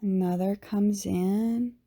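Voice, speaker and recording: A woman narrates a guided meditation at an extremely slow pace. Her voice is soft and mellow, sitting at an average pitch. She leaves long pauses between short phrases and lets the endings go hushed. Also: steady tone. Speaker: yoga teacher, meditation guide.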